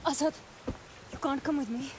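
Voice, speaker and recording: A woman's voice: high voice, moderate pace, darkish tone, slight background noise, close to an everyday voice. A woman playing a determined young heroine in a cinematic video game, speaking with earnest, resolute intensity. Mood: pleading, earnest.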